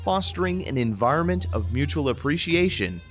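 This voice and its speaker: Man, news narration, scripted, animated intonation, music bed. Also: a little high, fairly quick. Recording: music under the voice.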